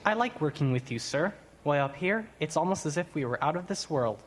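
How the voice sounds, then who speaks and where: A man's voice: fairly high pitch, animated, a little fast, slight background noise, close to an everyday voice. A man playing an admiring, polite young assistant in an old-time radio drama. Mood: wondering, polite.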